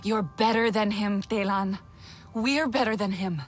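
An actress playing an earnest, determined young alien heroine in a video game, speaking persuasively. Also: female voice, mid-range voice, measured pace, faint music behind, close to an everyday voice.

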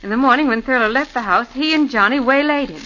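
A woman's voice: sits high, quick pace, pushed and bright. An actress playing a determined young woman assisting a detective in a 1940s radio drama. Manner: eager, determined. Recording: dull old radio recording with faint hiss.

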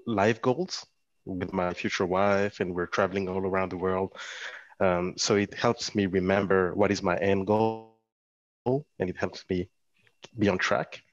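A man in his thirties from France, talking plainly and earnestly as a guest on a panel. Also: sits low. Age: 30s. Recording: dull dry video-call audio.

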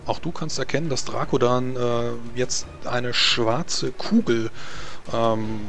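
A man's voice: mid-range voice, slow, fairly bright tone. A man running a tabletop role-playing game as game master, narrating casually and hesitantly as he thinks aloud. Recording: music behind the voice. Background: music.

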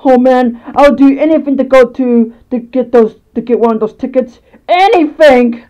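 A woman's voice: high voice, normal speed, warm tone, dull audio, lightly acted. A woman voicing a jealous, scheming unicorn superfan, melodramatic and muttering, in a homemade comedy skit. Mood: scheming, desperate.

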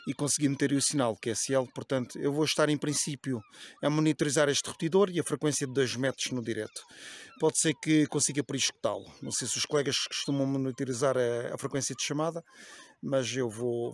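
A man in his forties speaking courteously as an amateur radio operator, recorded outdoors on a phone at arm's length. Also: mid-range voice. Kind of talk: casual radio contact chat. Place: outdoors on a hillside above a lake.

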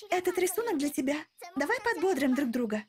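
Woman as a very high-pitched gentle girl. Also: very animated, fairly quick, a little hiss or hum. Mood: gentle, calm. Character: gentle, earnest teenage girl. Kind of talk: animated series dub.

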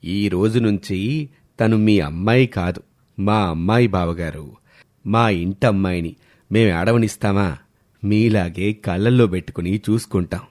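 A man voicing an older, gentle family patriarch in a cartoon drama, speaking in a measured, reassuring way. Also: fairly low pitch, voice pushed down, lightly acted.

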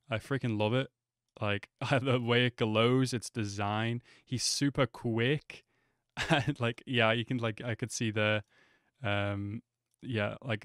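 A man's voice: medium pitch, smooth, no echo. A man in his twenties giving casual, enthusiastic, slangy reaction commentary into a close microphone. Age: 20s. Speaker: reaction video host. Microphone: close desk microphone, headphones on.

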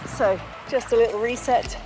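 Middle-aged woman, upbeat outdoor vlogger, action cam at arm's length, wind on the beach, loud music under the voice. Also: a little high, normal speed, poor audio. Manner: upbeat, chatty, talking to camera. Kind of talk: casual vlog narration. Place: outdoors on a windy pebble beach. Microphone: action camera held at arm's length.

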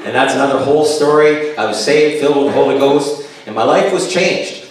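A man's voice: on the high side, fairly quick. A Canadian man in his late fifties preaching as a pastor, telling personal stories in a folksy, joking way. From Kitchener, Ontario, Canada. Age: late 50s. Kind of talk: sermon with personal testimony, anecdotal. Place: chapel, on stage at a pulpit. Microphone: stand mic over speakers, recorded by far camera. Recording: very echoey chapel, distant camera pickup.

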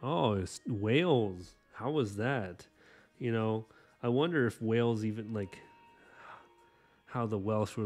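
A man whose first language was Korean talks in a deep, easygoing ramble to his live chat from a home art desk. He fills pauses with "um" and "like", and soft music sits faintly underneath. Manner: relaxed, rambling, many fillers. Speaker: artist streamer. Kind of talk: casual chat with live chat while painting.

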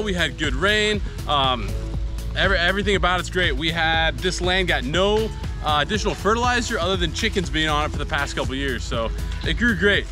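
Upbeat man chatting outdoors. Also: high-pitched. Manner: upbeat, chatty, frequent fillers. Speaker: farm nonprofit founder. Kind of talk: casual video update. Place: outdoors by a cornfield.

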